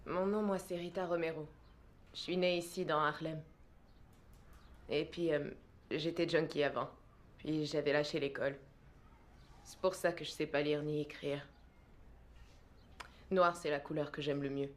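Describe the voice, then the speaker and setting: Plain-talking ex-junkie mom student. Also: female voice, deep voice, fairly even intonation, unhurried, long pauses. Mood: blunt. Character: frank former-junkie woman student. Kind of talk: French film dub.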